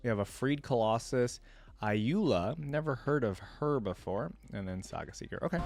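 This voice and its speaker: A man's voice: medium pitch, no echo. A man giving casual reaction commentary as a video host, thinking aloud in an informal way. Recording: music playing behind.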